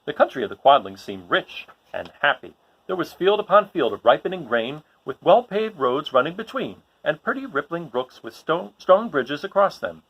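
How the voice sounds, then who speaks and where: Very animated, husky male storyteller. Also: fairly high pitch, normal speed, dull audio. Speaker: storybook reader and host. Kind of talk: expressive children's story read-aloud.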